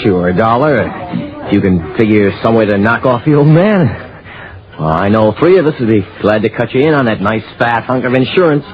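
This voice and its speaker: An actor playing a shifty, cocky small-time crook bartender, slangy and sneering, in a 1950s radio drama. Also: male voice, mid-range voice, lively intonation, normal speed, faint music behind, natural voice. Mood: sneering, sly. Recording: muffled old radio recording, background chatter.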